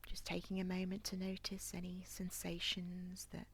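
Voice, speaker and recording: A woman leading a guided body scan meditation in a soft, near-whispered, breathy voice with a calm, gentle, soothing manner. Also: on the low side, moderate pace. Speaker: guided relaxation instructor.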